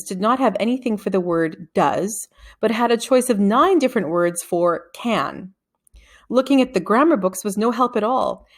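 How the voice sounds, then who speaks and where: A woman narrating a children's storybook from a script, reading expressively and acting out the dialogue. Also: mid-range voice. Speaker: read-aloud narrator of a children's novel.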